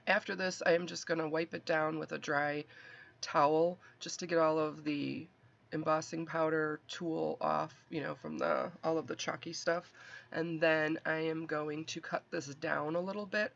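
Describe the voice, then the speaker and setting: A man's voice: on the high side, gravelly, a bit bright. A man with a nasal, congested, stuffy voice, chatting and rambling as he explains making a card at a craft desk. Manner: chatty, rambling, self-correcting. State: allergies, stuffy. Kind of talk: casual craft tutorial narration. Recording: dull lo-fi audio.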